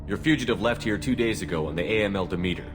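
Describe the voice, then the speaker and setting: Guy doing stoic military leader in a game, deep terse voice, big reverb. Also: quick pace, over music, natural voice. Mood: firm. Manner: terse, steady, matter-of-fact. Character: stoic, commanding human male military commander. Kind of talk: video game dialogue.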